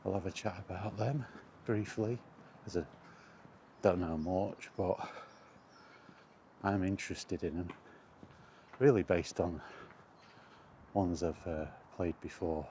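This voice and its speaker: Male solo podcaster, deep-pitched and unhurried, hesitant casual chat on a walk, light outdoor background noise. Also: mellow. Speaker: podcast host chatting solo. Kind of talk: casual rambling chat recorded while out walking. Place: outdoors, walking to and in a park.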